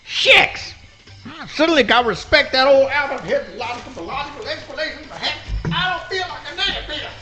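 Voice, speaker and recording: A performer playing a gentle, timid male possum with innocent, folksy Southern swamp dialect in a live comic radio play. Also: high-pitched, lively intonation, fairly quick, soft background music. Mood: reluctant.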